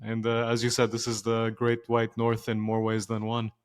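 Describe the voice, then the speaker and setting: A man's voice: mid-range voice, monotone, normal speed, clear, resonant tone. A man in his twenties from Lebanon and Dubai, a podcast guest talking casually and reflectively with plenty of filler words. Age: 20s. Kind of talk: casual conversation.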